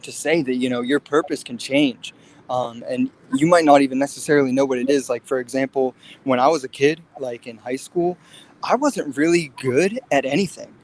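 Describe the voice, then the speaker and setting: A man's voice: medium pitch, normal speed, poor audio. A man in his late twenties talking casually and earnestly in a livestream conversation. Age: late 20s. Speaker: fitness guest.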